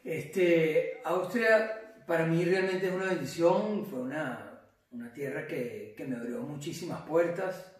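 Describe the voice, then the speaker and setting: A man's voice: on the high side, a little slow. Male interview guest, Venezuelan, casual delivery, heard some way from the mic in a very reverberant room. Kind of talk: casual chat. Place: indoor living room. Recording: echoey room, distant from mic.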